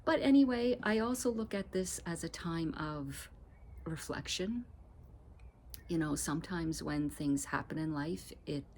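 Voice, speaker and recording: A woman's voice: sits low, measured pace, a little mellow, slight background noise. A woman in her fifties vlogging casually from her deck, a bit stuffy from allergies, rambling and laughing as she talks. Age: 50s. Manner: chatty, rambling, laughs often. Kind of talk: casual vlog update. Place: outdoor deck. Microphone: camera mic, no lapel mic.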